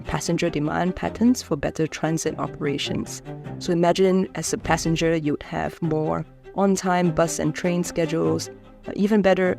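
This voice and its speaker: A woman, explaining her research in a measured way with frequent fillers and restarts. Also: fairly low pitch, creaky. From Singapore. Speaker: engineering researcher. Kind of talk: explanatory interview talk.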